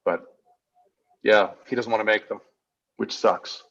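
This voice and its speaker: A man's voice: on the low side, steady tone, unhurried, gravelly, a bit bright, dull audio. A man co-hosting a casual live-stream chat, reading out viewer questions in a joking, chatty way. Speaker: co-host of a knife shop Q&A stream.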